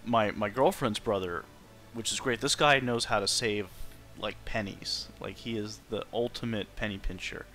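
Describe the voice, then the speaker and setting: A man chats casually on a game stream in a fairly low voice with a bright, forceful edge. He is opinionated and leans hard on his points. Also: normal speed, faint music behind. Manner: opinionated, emphatic. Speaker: streamer chatting during a game playthrough. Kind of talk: casual chat.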